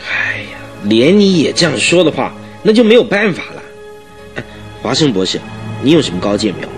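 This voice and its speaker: A man's voice: mid-range voice, big pitch swings, fairly quick, soft background music, lightly acted. A man playing an indignant, stubborn police detective chief in a Mandarin radio drama, emphatic and argumentative. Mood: resigned, polite.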